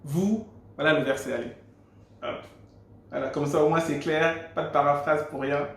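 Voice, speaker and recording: A man's voice: fairly high pitch, fairly even intonation, normal speed, slight background noise. Gabonese man telling a testimony, roomy sound. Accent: Gabonese French. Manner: earnest storytelling with frequent restarts. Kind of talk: personal faith testimony. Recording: roomy echo.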